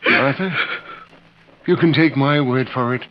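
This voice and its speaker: A man's voice: medium pitch, measured pace, lightly acted. A man playing a weary but firm husband and father in an old radio melodrama. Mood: firm, pained. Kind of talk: 1930s-50s radio melodrama acting. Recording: muffled, hissy old radio recording.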